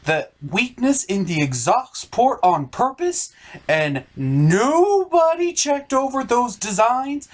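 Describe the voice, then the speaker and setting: A woman giving scripted comedic movie commentary with a sarcastic, exasperated manner. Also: fairly high pitch. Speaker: comic movie reviewer.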